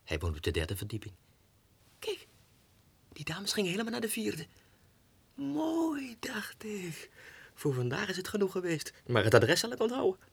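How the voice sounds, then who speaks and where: Excitable self-doubting clerk, 1960s radio drama, breathy and hoarse-edged, creaky, muttered halting monologue. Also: male voice, sits high, heavily acted. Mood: agitated resolve. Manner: halting, muttering, talking to himself.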